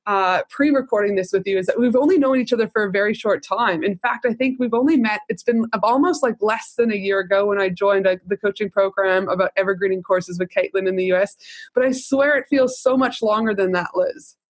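A woman's voice: medium pitch, fairly quick, dry recording. A woman hosting a business podcast, with an enthusiastic, salesy delivery. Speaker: podcast host, business coach. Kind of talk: podcast intro and interview.

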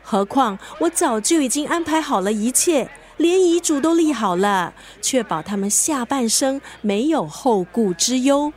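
Casting: sassy, caring Singaporean best friend, very high voice, colloquial radio-drama delivery. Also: female voice, animated, unhurried, few pauses, a little mellow. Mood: matter-of-fact. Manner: colloquial, mixes in English words.

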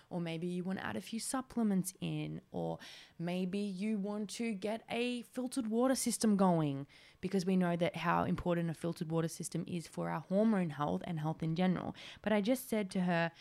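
A woman's voice: on the low side, gravelly, a little mellow. A woman in her twenties hosting a solo podcast, talking in a chatty, rambling way with lots of "like". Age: 20s. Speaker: podcast host.

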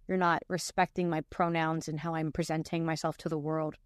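Woman podcast host, casual reaction commentary, slightly low pitch, dry booth sound, chatty with filler words. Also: clear, resonant tone, dry recording. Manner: chatty, frequent "like" fillers and restarts.

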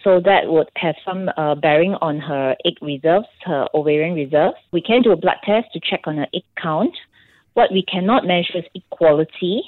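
A woman doctor with a Singaporean English accent explains things in a radio interview, pausing often with ums. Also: lowish voice, dry recording. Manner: explanatory, with many ums and pauses. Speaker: fertility doctor guest. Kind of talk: radio interview on a phone line. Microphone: phone. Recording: tinny phone line, dry.

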